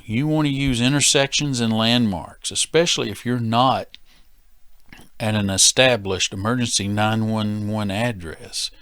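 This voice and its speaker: A man's voice: medium pitch, fairly bright tone, no echo. An American man giving an instructional safety training talk as an instructor, emphatic and repeating key phrases for stress.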